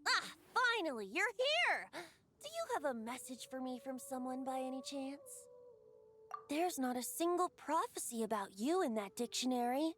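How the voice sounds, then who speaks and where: A youthful, playful wind-spirit bard from a video game. The boyish voice sits high and moves in lively, lilting swings, poetic and gently teasing. Faint noise and ambience lie behind it. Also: female voice, moderate pace. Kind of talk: scripted video game voice acting.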